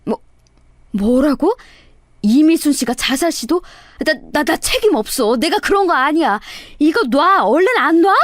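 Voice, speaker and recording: An indignant old swindler, acted out by a woman storyteller, blustering and crude. The voice sits high and swings widely as he sputters, in a dry, dramatized story recording. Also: lively intonation, moderate pace, few pauses, dark tone, no echo. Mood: panicked.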